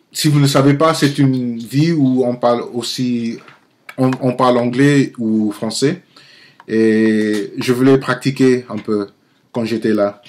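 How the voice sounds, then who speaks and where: A young man in his twenties with an American accent and English as his first language speaks in a medium-pitched voice. He talks casually to the camera like an amateur vlogger, often searching for words and restarting his phrases. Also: darkish tone. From Nigeria-born, grew up in the United States. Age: 20s. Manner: halting, searching for words, self-correcting. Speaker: amateur video blogger.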